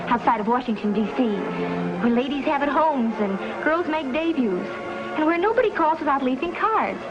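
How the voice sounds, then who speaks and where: A woman's voice: high-pitched, lively intonation, moderate pace. A young woman playing a spirited, headstrong society daughter in a 1950s television western. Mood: contrite. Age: young adult. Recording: muffled old 1950s TV soundtrack with music.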